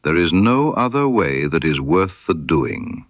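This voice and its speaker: A man voicing a proud, stubborn sculptor in an audiobook, scornful of flattery, with blunt, emphatic, formal period speech. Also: sits low, a little slow, no room sound, dull audio, full character voice. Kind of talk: character dialogue in an audiobook.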